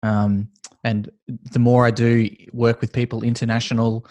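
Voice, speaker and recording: An Australian man in his thirties, a podcast guest talking in a relaxed, rambling and friendly way with many filler words. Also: medium pitch, measured pace, no room sound. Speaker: guest, esports business founder. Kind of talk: casual interview chat.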